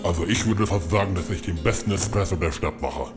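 A man's voice: deep voice, fairly quick, music in the background, lightly acted. A man playing a seedy, boastful ageing tabloid columnist with a crude, rambling manner in a comedic audio drama. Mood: smug, boastful. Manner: rambling, boastful, self-satisfied.

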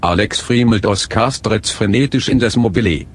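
A man's voice: lowish voice, moderate pace. A man delivering scripted, dramatic storytelling as the narrator of an audio play. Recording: very loud music bed.

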